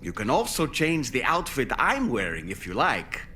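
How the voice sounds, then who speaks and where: A talkative male companion from a sci-fi game. His voice is bright and mid-pitched, with very animated intonation. He phrases things politely and a little formally, with a wry edge. Faint noise sits behind him. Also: normal speed. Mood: wry, playful. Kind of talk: scripted video game character dialogue.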